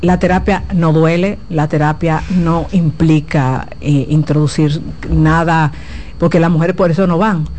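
A woman's voice: sits low, fairly even intonation, measured pace, a little mellow, a little hiss or hum. A woman giving frank, explanatory advice as a guest sex therapist on a talk show.

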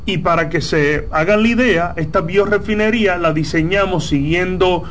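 A Dominican man, a company founder giving measured, explanatory interview answers with frequent pauses. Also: high-pitched, a bit bright, a little hiss or hum. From Dominican Republic.

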